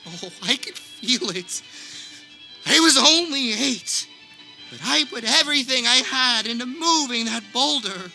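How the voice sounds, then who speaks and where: Woman playing a shrill, melodramatic haunted man. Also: animated, bright, forceful tone, faint music behind, exaggerated voice, rough recording. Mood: solemn, haunted. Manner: overwrought, drawn-out theatrical storytelling. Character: Frank, melodramatic man haunted by monster childhood trauma. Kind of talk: comedic radio play acting.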